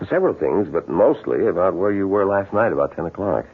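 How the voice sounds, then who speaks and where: A state police officer, firm, official and matter-of-fact. His voice is low and husky with lively swings in pitch. It has the narrow, dull sound of a 1970s radio drama. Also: heavily acted. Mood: polite, firm. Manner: polite, official, measured.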